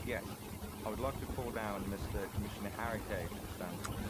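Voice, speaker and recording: A man's voice: on the low side, a little fast, heavy background noise. A man acting as a defense lawyer in a roleplay court, formal but hesitant with fillers.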